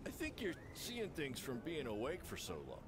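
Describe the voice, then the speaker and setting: A man playing a glib, lazy, excitable wrestling promoter sidekick in a video game cutscene. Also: fairly high pitch, moderate pace, noisy background. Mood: dismissive.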